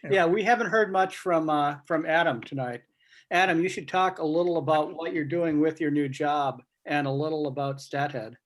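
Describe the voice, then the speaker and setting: A man's voice: on the high side, moderate pace. A man speaking casually and reflectively on a video call, as a sports writer would on a panel. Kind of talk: casual video-meeting talk.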